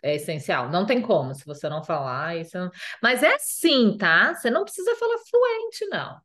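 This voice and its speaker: A woman's voice: medium pitch, sing-song, brisk, a bit bright. A woman from Brazil with a Brazilian Portuguese accent, chatting casually as an interview guest, full of fillers and repeated phrases.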